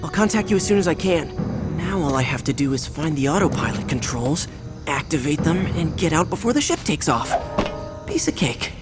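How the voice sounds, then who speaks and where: An actor voicing a brave, wisecracking teenage boy hero in a video game, determined and quick with a joke. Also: on the high side, lively intonation, close to an everyday voice. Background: music.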